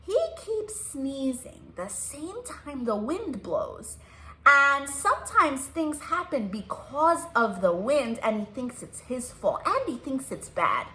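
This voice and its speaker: Very lively high-pitched woman reading to children. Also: takes it slowly. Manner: sing-song, addresses children with simple questions. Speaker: children's librarian hosting musical storytime. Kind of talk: animated read-aloud for young children. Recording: roomy echo.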